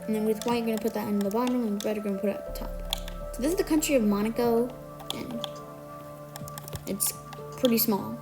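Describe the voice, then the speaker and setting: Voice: mid-range voice, brisk, long pauses. A tutorial maker who is a bit sick today talks step by step through a building tutorial, counting out blocks and correcting small slips along the way. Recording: music playing under the voice.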